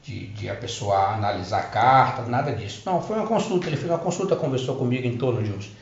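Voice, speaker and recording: A man's voice: mid-range voice, lively intonation, creaky. A middle-aged man telling viewers about his spiritual treatment, speaking off the cuff with many restarts. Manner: unscripted, halting with restarts. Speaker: spiritual healing presenter. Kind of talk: personal testimony to viewers. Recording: roomy, dull lo-fi sound with faint noise.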